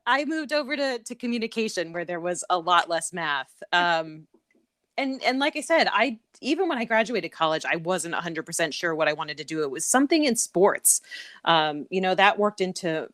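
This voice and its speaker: A woman's voice: on the low side, expressive, normal speed, a bit bright, dry recording, clean studio sound. A middle-aged woman from Connecticut, a professor being interviewed, talking casually with many ums and easy laughs. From Connecticut, USA. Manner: frequent "um" fillers and repeats, laughs easily. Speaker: guest, associate professor.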